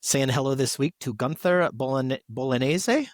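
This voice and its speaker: Chatty man giving podcast shout-outs, dry sound. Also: mid-range voice, moderate pace, no echo. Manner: chatty, appreciative asides. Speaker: podcast co-host. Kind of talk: reading listener shout-outs, casual chat.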